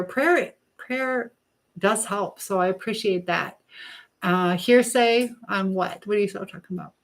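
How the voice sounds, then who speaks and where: A woman's voice: medium pitch, fairly bright tone. The chatty American woman you'd hear on a casual evening livestream, talking to her regulars by name from the kitchen counter while she cooks. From United States. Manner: chatty, reading out and answering viewer comments. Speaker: livestream host. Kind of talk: casual live chat with viewers while cooking. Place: home kitchen.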